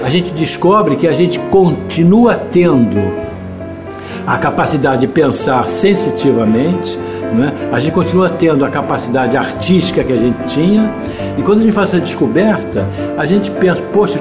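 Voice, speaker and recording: A man with a Brazilian accent speaks in a slightly high voice over clear guitar music. He explains his ideas reflectively and emphatically, building through repeated phrases.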